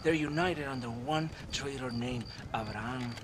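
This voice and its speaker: An actor voicing a sly, theatrical Mexican army captain with a Mexican Spanish accent, who swings between mocking laughter and hushed, mournful confiding. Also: male voice, medium pitch, moderate pace, natural voice. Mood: grave. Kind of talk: video game cutscene acting. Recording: noisy outdoor game soundscape.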